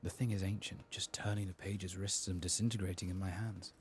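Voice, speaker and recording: A man speaking softly and often whispering in ASMR style as he comments on a game he plays, close to the microphone. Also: low-pitched, steady tone, a little hiss or hum. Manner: hushed, reads quest text aloud slowly. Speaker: ASMR gaming streamer narrating a playthrough.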